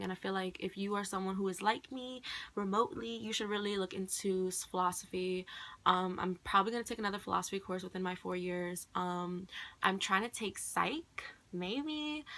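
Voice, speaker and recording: A woman's voice: medium pitch, gravelly, sharp tone. A young woman, a college student whose first language is Khmer, rambling casually and thoughtfully to camera with many "like"s and "um"s. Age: young adult. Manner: rambling, heavy on "like" and "um".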